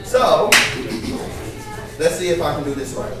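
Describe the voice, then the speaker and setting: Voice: medium pitch, unhurried. A spoken word poet performing live, with an emphatic, impassioned, rhythmic delivery. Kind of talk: live performance poetry with sung passages. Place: live poetry event before an audience. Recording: echoey live venue, distant mic, audience noise.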